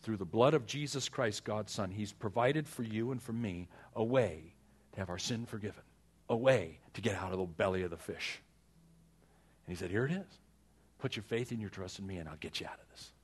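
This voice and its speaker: A man preaching as a pastor, earnest and exhorting, with dramatic pauses, repeated phrases and a husky voice. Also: on the low side. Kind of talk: sermon with personal stories and prayer.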